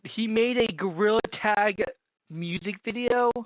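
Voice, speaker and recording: A man's voice: sits high, unhurried, no echo, dull audio. A man live-streaming a casual reaction, incredulous and firing off repeated questions.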